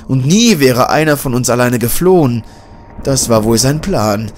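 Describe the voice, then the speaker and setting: A man's voice: mid-range voice, lively intonation, measured pace, slight background noise, lightly acted. A man voicing a determined male journalist who speaks tensely.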